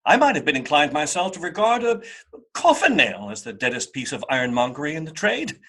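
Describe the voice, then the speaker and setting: A man narrating a radio play as a theatrical storyteller, wry and emphatic, with a husky voice. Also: fairly high pitch, very animated, fairly quick, pushed and bright, heavily acted.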